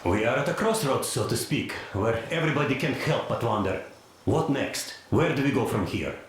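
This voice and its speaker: A man acting a respectful soldier in a video game, speaking in a measured, deferential way. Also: mid-range voice, lively intonation, very echoey, background noise, close to an everyday voice. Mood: musing. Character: respectful male soldier questioning his commander.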